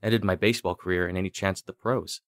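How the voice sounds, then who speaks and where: A man's voice: sits low, fairly even intonation, fast, no echo, clean studio sound. Man playing plain-spoken, curious young bartender. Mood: bemused. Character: ordinary young male bartender, curious and bemused.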